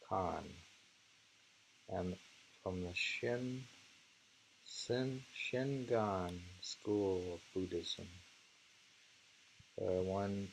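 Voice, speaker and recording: A man reading poetry aloud and commenting casually, in a halting, musing way that circles back over phrases. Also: on the low side, gravelly, a little hiss or hum, low-quality recording.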